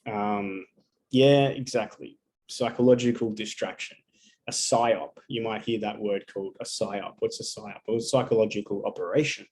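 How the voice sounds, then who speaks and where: A man's voice: on the low side, fairly even intonation, moderate pace, a little mellow, a little hiss or hum. A man giving a live astrology reading over a video call, talking casually and bluntly and swearing often.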